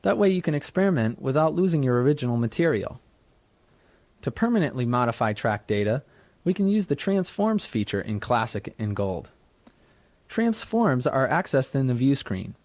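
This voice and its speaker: A man with a mid-pitched voice narrates a scripted software tutorial. He explains each step in a measured, orderly way. The sound is very dry, like a studio read for an instructional tape. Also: very animated, no echo.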